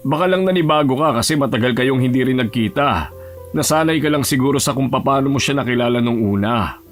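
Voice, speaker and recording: A gentle elderly grandfather played by a male actor in an audio drama. His voice sits at a middle pitch, plain-spoken and fatherly, over soft background music. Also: a little fast, lightly acted. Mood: gently curious. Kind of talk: Tagalog audio drama acting.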